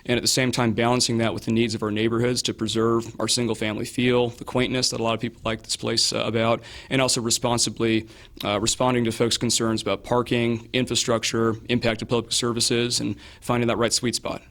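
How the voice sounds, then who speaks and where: A hoarse man answering interview questions as a local candidate, measured and hedging, with frequent fillers and restarts. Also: mid-range voice, little pitch movement, fairly bright tone. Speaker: political candidate.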